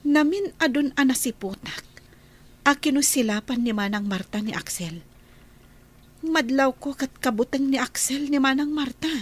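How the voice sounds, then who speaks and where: A woman's voice: high-pitched, animated, normal speed. A woman playing a concerned, respectful household helper in a radio drama. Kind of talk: Ilocano radio drama acting.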